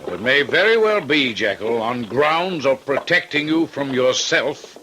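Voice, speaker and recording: An actor playing a formal, earnest Victorian gentleman solicitor in an old-time radio drama, stammering when agitated. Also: mid-range voice, lively intonation, unhurried, natural voice. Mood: stern, concerned. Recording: noisy vintage radio recording.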